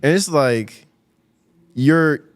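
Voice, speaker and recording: A man's voice: on the high side, very slow. A male podcast host asking questions in an easygoing, casual way, sometimes slipping into playful impressions. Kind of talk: casual conversation.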